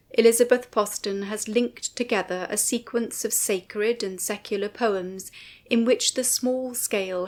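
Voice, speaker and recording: A woman reads a prepared commentary as narrator. Her voice sits at a medium pitch for a woman. The delivery is formal and measured, with carefully shaped phrases. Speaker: writer and narrator of a music commentary. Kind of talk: scripted narration.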